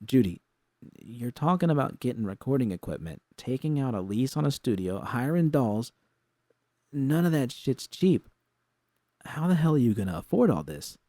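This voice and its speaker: A tough mercenary woman from an audiobook drama, acted out by a man, blunt and mocking. The tone is dark and mellow, with a scornful, sceptical bite and a very dry sound. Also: medium pitch, sing-song, moderate pace, no room sound, pitched above the performer's own voice. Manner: blunt, mocking, foul-mouthed.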